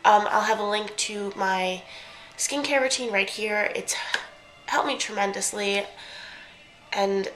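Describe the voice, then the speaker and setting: A woman's voice: mid-range voice, unhurried, pauses often, fairly bright tone, faint music behind, rough recording. A young woman with a stuffy, congested voice, chatting casually through a makeup tutorial and often correcting herself mid-sentence. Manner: chatty, rambling, self-correcting. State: congested from a cold. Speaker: beauty vlogger.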